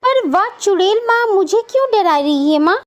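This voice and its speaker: A woman's voice: very high voice, animated, normal speed. A woman voicing an anxious, insistent young bride-to-be in a cartoon horror story. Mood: frightened, insistent. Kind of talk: Hindi cartoon story acting.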